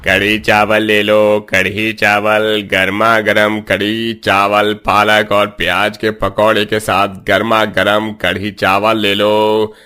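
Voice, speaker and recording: A man plays a brusque cartoon street vendor with a forceful, low voice. He is gruff and scolding, and his delivery stays fairly flat. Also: takes it slowly, hardly any pauses. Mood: hawking. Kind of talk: cartoon story voice acting.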